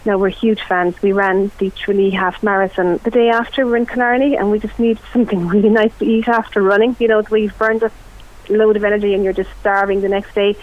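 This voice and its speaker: A woman's voice: medium pitch, steady tone, fast talker. A middle-aged Irish woman giving an enthusiastic, chatty food report on the radio. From Ireland. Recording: tinny phone line.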